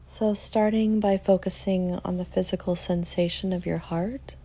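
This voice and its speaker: A woman leading a guided meditation in a soft, soothing way, leaving long pauses between phrases. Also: fairly low pitch, steady tone.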